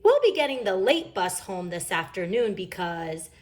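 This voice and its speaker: A woman's voice: medium pitch, very animated, moderate pace, natural voice. A woman voicing a young boy bear cub in a children's storybook, indignant and complaining. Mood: protesting. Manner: complaining, protesting. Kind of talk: children's storybook read-aloud.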